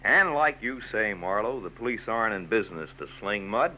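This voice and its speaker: A hard-nosed homicide lieutenant from an old radio detective drama. His low voice is bright and forceful, and it rises and falls freely as he fires off short, skeptical questions. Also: lively intonation, a little fast, rough recording. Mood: knowing. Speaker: police lieutenant. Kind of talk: 1940s radio acting.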